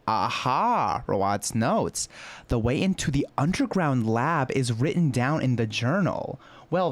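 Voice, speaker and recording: A man's voice: medium pitch, slight background noise. A young man casually commenting while playing a story game, reading prompts aloud with wry asides. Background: game music.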